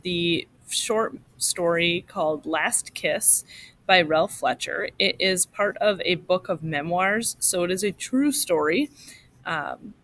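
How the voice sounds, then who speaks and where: A woman reading a short story aloud to children in an expressive, instructive way, like a teacher. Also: lowish voice, slow pace.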